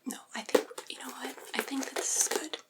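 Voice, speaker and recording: Vampire's familiar, played by a woman: high, breathy whisper, patient and coaxing, macabre tastes, soothing ASMR roleplay. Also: a little fast, natural voice. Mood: gently teasing. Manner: coaxing, soothing, chatty, gently teasing.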